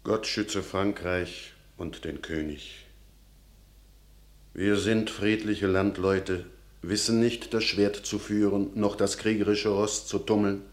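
An actor playing a peaceable, resigned peasant farmer, declaiming classical verse in a measured, earnest way in a radio drama. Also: male voice, lowish voice, steady tone. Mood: humble resignation.

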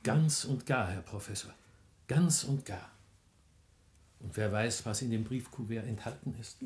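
A man's voice: medium pitch, a little slow, darkish tone, close to an everyday voice. A man playing a deferential, worried household secretary in a radio play, speaking formally and insistently. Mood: worried, urgent. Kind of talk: German radio play acting.

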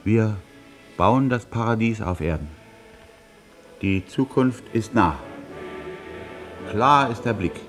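Low-voiced, embittered old communist. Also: male voice, expressive, slow, pauses often, faint music behind. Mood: declamatory. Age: older. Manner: terse, grumbling, breathy half-whispers swelling to declamation. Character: old embittered communist fighter in psychiatric clinic, suspicious. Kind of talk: radio drama interior monologue. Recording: noisy ambience behind the voice.

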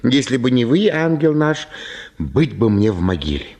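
An actor playing a small, effusive old impoverished gentleman, gushing with florid, obsequious gratitude in a radio play. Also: medium pitch, big pitch swings, normal speed. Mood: plaintive, confiding. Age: older. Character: small old impoverished landowner, effusive, fawning, grateful flatterer. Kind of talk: radio play acting of classic comic story.

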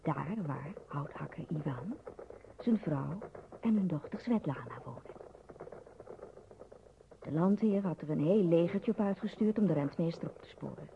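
Low-voiced woman narrating radio drama. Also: expressive, a little slow, gravelly, pauses often. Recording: dull, noisy old radio recording.

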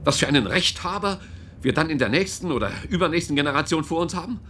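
An actor playing a commanding, world-weary head of internal security in a German radio drama, forceful and deliberate in argument. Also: male voice, on the high side, animated, a little hiss or hum. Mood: indignant.

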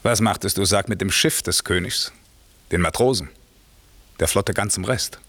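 A man's voice: low-pitched, moderate pace, fairly bright tone, no echo. A man playing a stern, imperious magician master who can turn benevolent, declaiming verse with authority in a German classical radio play. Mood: approving, questioning.

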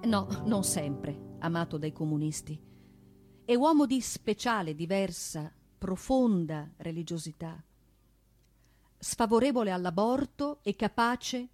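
Donna che recita un monologo con pianoforte. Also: female voice, mid-range voice. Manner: declamatory, deliberate, emphatic with dramatic pauses. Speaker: stage narrator and performer. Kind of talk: theatrical dramatic monologue with piano. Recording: piano music accompaniment. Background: live piano.

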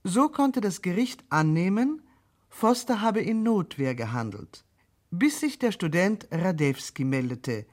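A radio play announcer recapping a trial. The voice sits at medium pitch, formal and measured in scripted narration. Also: sing-song. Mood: formal, factual.

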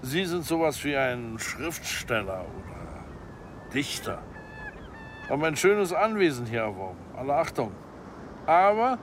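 A man's voice: fairly high pitch, a little hiss or hum. An actor in a radio play voicing a businesslike male arms expert and professional killer, methodical and formally polite in his instructions. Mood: probing, sceptical.

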